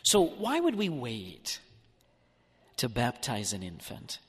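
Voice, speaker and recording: Animated male preacher in a reverberant church. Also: mid-range voice, slow, husky. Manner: animated, mock-whining when playing an upset child. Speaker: priest or deacon preaching a homily. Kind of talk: sermon.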